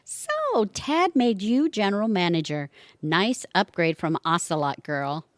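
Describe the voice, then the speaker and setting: A woman's voice: mid-range voice, big pitch swings, measured pace, no echo, clean studio sound. A woman playing a wry, flirty retired wife who likes to scheme and muse, in an amateur audio comedy. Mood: teasing.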